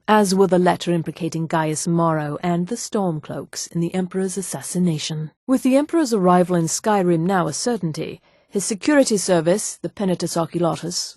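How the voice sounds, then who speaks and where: An elven assassin of a dark brotherhood for a fantasy game. Her voice sits fairly low and is recorded close and dry. She speaks formally and deliberately, with composed, cool menace. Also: dry recording. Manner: formal, measured, deliberate diction. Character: poised, coolly menacing elf woman assassin of a dark brotherhood. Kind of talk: scripted fantasy video game dialogue.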